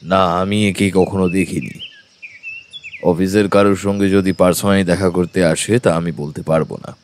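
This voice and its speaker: A man playing a grave forest officer in his fifties in an audio story, speaking in a measured, official way. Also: deep voice, steady tone, a little mellow, a little hiss or hum, lightly acted. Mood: formal, curt. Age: middle-aged. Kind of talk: audio story dramatized dialogue.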